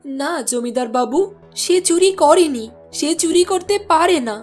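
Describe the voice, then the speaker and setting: A woman voicing a strong-willed village housewife in a cartoon, complaining and insistent but soft-hearted. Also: high-pitched, lively intonation, measured pace, soft background music. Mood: pleading. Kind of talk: cartoon voice acting.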